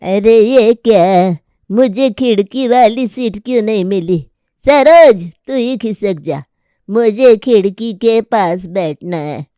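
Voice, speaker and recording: A woman voicing a cranky old grandmother and sarcastic mother-in-law who scolds and complains in an animated family story. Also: mid-range voice, slow, clean tone, dry recording, clean studio sound, close to an everyday voice. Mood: scolding.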